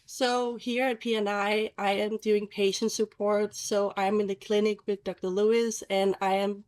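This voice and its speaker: Earnest young Danish woman, flat tone. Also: medium pitch. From Denmark. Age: 20s. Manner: earnest, with halting phrasing and restarts. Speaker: patient support worker. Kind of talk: casual interview talk.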